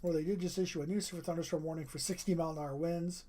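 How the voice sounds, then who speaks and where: A weather livestreamer talks steadily through live radar maps. The voice is strained and keeps breaking off to clear the throat. It sits at an ordinary middle pitch. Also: fairly even intonation, very fast, warm tone, a little hiss or hum.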